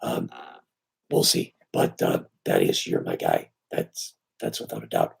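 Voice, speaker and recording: A man with a hoarse voice still weak after surgery, chatting casually and rambling on a live stream about his knife shop. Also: rumbling low, unhurried. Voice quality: very raspy, breaking into breathy near-whisper. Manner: rambling, easygoing, filler-heavy.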